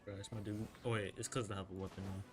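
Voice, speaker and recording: A man's voice: fairly low pitch, level tone, rapid-fire. A young man giving casual, slangy gameplay commentary, muttering to himself. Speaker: gaming streamer. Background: game music.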